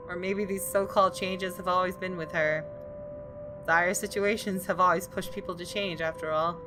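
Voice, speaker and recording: Female game narrator: lowish register, expressive storytelling read, music bed underneath. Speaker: gaming video host reading the story. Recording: music playing behind.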